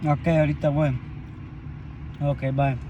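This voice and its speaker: A man's voice: on the high side, level tone, unhurried, mellow, dull audio, slight background noise. A young man talking while he eats in a parked car, in a loose, rambling and profane way for his vlog. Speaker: vlogger. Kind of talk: casual eating vlog monologue.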